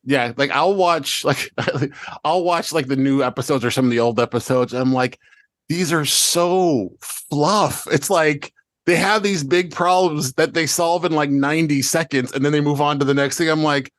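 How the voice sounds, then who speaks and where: Animated man chatting, close dry sound. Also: fairly high pitch, moderate pace, no room sound. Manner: animated, rambling storytelling, acting out remembered remarks. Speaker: podcast host and showrunner of an audio drama. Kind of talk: casual chat looking back on a show's season.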